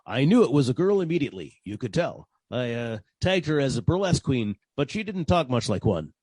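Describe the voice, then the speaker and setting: An actor playing a wry, deadpan private detective narrating his caper in 1940s radio style. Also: medium pitch, brisk, husky, no echo. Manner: deadpan, wisecracking.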